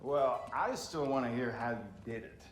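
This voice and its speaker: An actor playing a wry, shrewd old counsellor in a video game, using lilting, old-fashioned phrasing. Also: male voice, mid-range voice, normal speed, natural voice. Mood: curious, amused. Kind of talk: animated video game acting. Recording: roomy, echoing sound.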